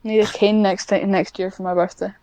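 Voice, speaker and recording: A woman's voice: medium pitch, little pitch movement, a little fast, mellow, dry recording. A nineteen-year-old woman chatting casually, rambling and self-deprecating as she goes. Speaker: podcast guest, ASMR script writer. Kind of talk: casual chat.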